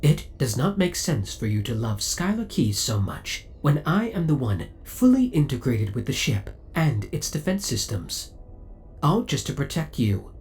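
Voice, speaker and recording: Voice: medium pitch, sing-song, unhurried, a little mellow, faint music behind. An actor voicing a possessive, devoted spaceship AI in an audio roleplay, speaking in a formal, precise, clinical and politely menacing way. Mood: coolly jealous. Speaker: voice actor playing a ship's AI. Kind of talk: scripted ASMR audio roleplay acting.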